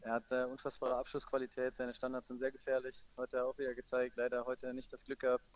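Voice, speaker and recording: Fast-talking man, even-toned football coach. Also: medium pitch. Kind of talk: post-match phone interview.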